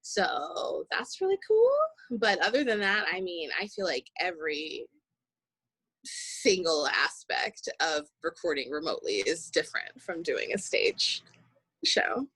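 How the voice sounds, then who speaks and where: A woman actor speaking reflectively in an interview, halting and searching for her words as she goes. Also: on the low side, big pitch swings, fairly bright tone, slightly rough, no echo. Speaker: stage actor in an audio play.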